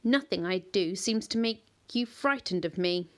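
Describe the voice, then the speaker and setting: A woman voicing an ancient, gigantic yew-tree monster that speaks slowly and gravely, with quiet command. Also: mid-range voice, animated, natural voice.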